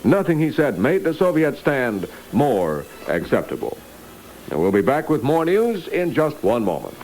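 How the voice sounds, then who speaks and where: Man reading 1960s radio news, widely varied pitch. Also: huge pitch swings, unhurried, darkish tone, a little hiss or hum, rough recording. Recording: vintage 1960s AM radio aircheck.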